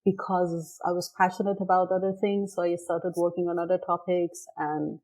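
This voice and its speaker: A woman's voice: on the low side, level tone, normal speed, a little mellow, poor audio. A middle-aged woman from Iran, a native Persian speaker, telling her story as an interview guest with frequent ums and restarts. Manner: thoughtful, many ums and restarts. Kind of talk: casual interview conversation.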